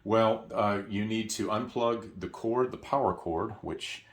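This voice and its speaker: Very deep-voiced man explaining a product. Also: measured pace. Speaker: product tutorial presenter. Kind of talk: casual hands-on product tutorial.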